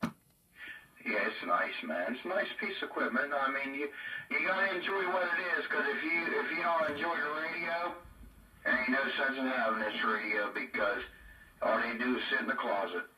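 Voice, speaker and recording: Guy talking radio gear, real bright forceful voice, echoey and kinda off the mic. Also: mid-range voice, normal speed, far from the mic. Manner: folksy, drawling, colloquial. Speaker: CB radio hobbyist. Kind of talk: casual chat about radio gear. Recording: echo-effected, roomy sound from off mic.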